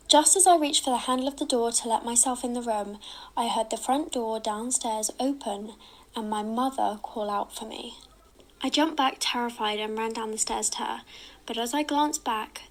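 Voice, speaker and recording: A woman narrating a scary personal story in a measured, suspenseful manner. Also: a little high.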